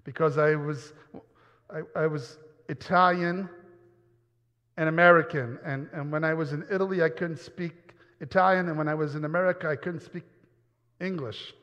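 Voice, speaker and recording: A man's voice: a little high, fairly even intonation, normal speed, long pauses, a bit bright. A man from New York giving a personal testimony sermon in a church, earnest and often repeating words as he goes. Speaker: pastor. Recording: dull sound with long hall reverb.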